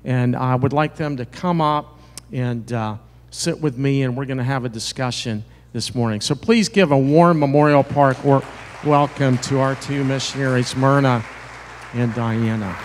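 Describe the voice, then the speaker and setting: A man's voice: mid-range voice, normal speed, a little hiss or hum. Older guy hosting a church interview on a handheld mic, echoey sanctuary. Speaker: church host interviewing missionaries. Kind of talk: welcoming introduction and interview before a congregation. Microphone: handheld microphone. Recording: reverberant church hall.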